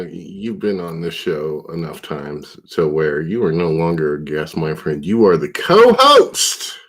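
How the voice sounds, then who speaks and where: Congested man hosting a rowdy podcast. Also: on the low side. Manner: loose, profane, rambling, hype-man announcing. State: congested, stuffed-up. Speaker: podcast host. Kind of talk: casual podcast chat.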